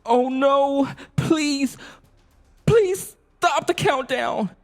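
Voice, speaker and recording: A man's voice: extremely high pitch, animated, very slow, gravelly, soft background music, voice pushed up, full character voice. A man voicing an earnest, remorseful father in a children's storybook, with a plaintive, emotional delivery. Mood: pleading. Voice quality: raised, plaintive tone. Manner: pleading, emotional.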